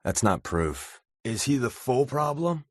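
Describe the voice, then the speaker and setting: A man's voice: mid-range voice, very animated, a little slow, dry recording, studio quality. A man playing a wry, wisecracking undercover agent in a video game, with a casual, sardonic delivery. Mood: guarded, dry. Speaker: voice actor playing a game companion. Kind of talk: video game character dialogue.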